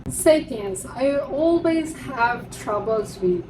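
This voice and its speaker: High-voiced woman, English not her first language. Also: lively intonation, takes it slowly. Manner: chatty and upbeat, presenting books one by one. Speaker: book vlogger. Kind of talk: casual vlog showing her unread books. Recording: distant mic with construction noise. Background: construction noise from a neighbor.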